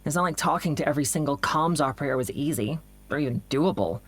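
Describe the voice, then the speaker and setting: A woman's voice: deep voice, animated, fast, breathy edge. A woman playing an anxious, persistent doctor who works in communications, hesitant and earnest in a naturalistic audio drama. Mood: weary, recounting.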